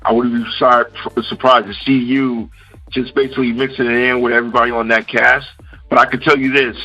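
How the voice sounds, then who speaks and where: A man's voice: mid-range voice, flat delivery, a little fast, pushed and bright, highs cut off, soft background music. A man from Queens, New York hosting a radio interview, talking casually and often saying "you know.". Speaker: radio host.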